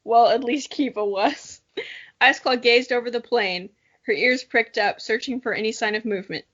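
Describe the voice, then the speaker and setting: A woman's voice: on the high side, moderate pace, fairly bright tone. A young woman reading a story dramatically and chatting casually about it, with a giggly, playful, self-mocking manner. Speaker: podcast co-host. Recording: dull lo-fi audio.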